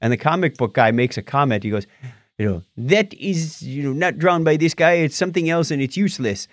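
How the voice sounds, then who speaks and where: A man's voice: fairly high pitch, lively intonation, brisk, dry recording, studio quality. A middle-aged man co-hosting a podcast, chatting casually and wryly about films and TV shows, often poking fun at himself. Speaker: podcast co-host.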